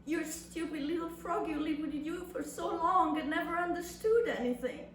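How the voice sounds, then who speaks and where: A woman performing a dramatic monologue as an anguished young adult daughter addressing her mother, with impassioned, theatrical delivery. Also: very high voice. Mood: wistful, pained. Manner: impassioned, deliberate, theatrical delivery with long pauses. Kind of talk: dramatic literary monologue reading on stage. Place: reverberant indoor venue at a live reading. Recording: echoey room, distant mic, faint noise.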